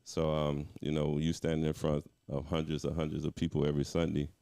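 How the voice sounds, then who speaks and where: A middle-aged man, a music director, talking as a guest in a casual interview, reflective and relaxed with frequent restarts. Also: rumbling low, a little fast, vocal fry, clear, resonant tone.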